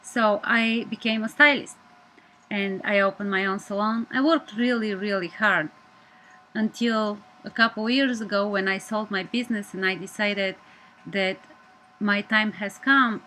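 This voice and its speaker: A woman in her thirties from Bulgaria, speaking English with a Bulgarian accent, giving an earnest, measured personal presentation as a media student. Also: medium pitch, steady tone, bright, forceful tone, a little hiss or hum, poor audio.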